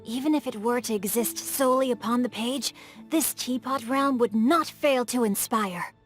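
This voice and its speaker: A woman's voice: a little high, normal speed, soft background music, close to an everyday voice. A woman voicing a refined, bookish, playful boy with eloquent, formal phrasing in a video game. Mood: genteel, content. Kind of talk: video game character voice acting.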